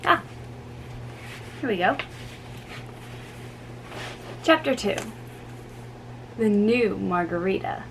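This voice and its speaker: Slow, halting woman reading a story. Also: medium pitch, animated, gravelly, poor audio. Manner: halting, stumbles over foreign names. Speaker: amateur reader. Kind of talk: dramatic book reading. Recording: faint background noise.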